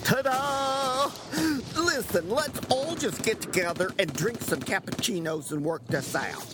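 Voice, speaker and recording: A man's voice: high voice, animated, a little slow, heavy background noise. A man playing an airy, theatrical would-be peacemaker in a comic war sketch. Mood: chirpy, placating. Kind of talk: comic character acting.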